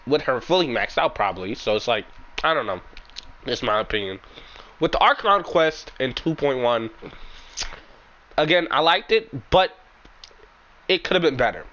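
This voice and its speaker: A young man with a sick, raspy voice, giving frank, rambling opinions as a gaming YouTuber in casual commentary. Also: medium pitch, animated, normal speed, long pauses, sharp tone, low-quality recording.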